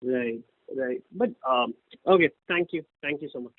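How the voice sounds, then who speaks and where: A man's voice: mid-range voice, moderate pace, dry recording. A man with an Indian accent asking an analyst's question on a business call. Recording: dry tinny phone line.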